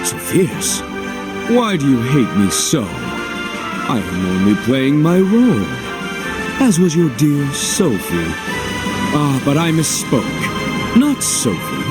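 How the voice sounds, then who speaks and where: An actor playing a smug, cruel video game villain, pompous and drawling, with mock politeness. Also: male voice, medium pitch. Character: theatrical, mocking male villain, smugly cruel. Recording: loud background music. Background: game music.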